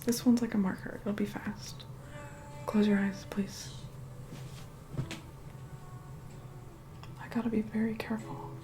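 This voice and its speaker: A woman playing an aloof, vain young vampire in a soft whispered ASMR roleplay, unhurried, dry and mildly condescending. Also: flat delivery, natural voice. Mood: focused, bossy. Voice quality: soft, breathy whispering mixed with low murmur. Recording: music playing behind close whispered voice.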